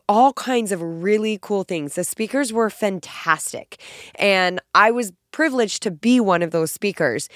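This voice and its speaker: Raspy, nasal woman podcaster with a cold. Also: lowish voice, dry recording, studio quality. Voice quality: naturally raspy voice. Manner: upbeat, emphatic and encouraging. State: sick, extra nasal and raspy. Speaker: podcast host and fitness coach. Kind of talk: solo podcast monologue.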